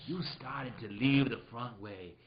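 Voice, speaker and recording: A man playing a smug, playful detective in a comedic live radio mystery, hamming it up and halting for asides. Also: mid-range voice, lo-fi sound, a crowd in the background, natural voice. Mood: expository. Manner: hammy, halting, breaks into ad-libbed asides.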